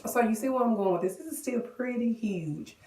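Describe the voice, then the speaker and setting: A woman with a cold and a hoarse voice, chatting casually to viewers as she walks them through a wig styling tutorial. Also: a little high, brisk, a little mellow, recorded from a distance. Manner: chatty, addresses viewers directly. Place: bathroom. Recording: echoey bathroom, voice off-mic.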